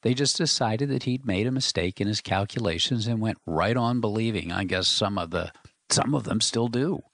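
A man voicing a gruff, skeptical homesick engineer from Louisiana. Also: fairly low pitch, moderate pace, fairly bright tone, no echo, studio quality, voice pushed up.

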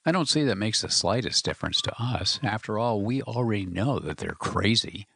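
A man voicing a gruff, skeptical homesick engineer from Louisiana. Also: fairly low pitch, animated, moderate pace, fairly bright tone, full character voice.

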